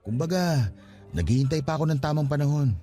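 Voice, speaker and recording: A man's voice: a little high, expressive, brisk, mellow, lightly acted. Man acting an earnest, anxious young father. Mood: earnest, pleading. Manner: earnest, conversational, questioning. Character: earnest, anxious young father proposing to his estranged partner. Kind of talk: Tagalog radio drama acting. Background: music bed.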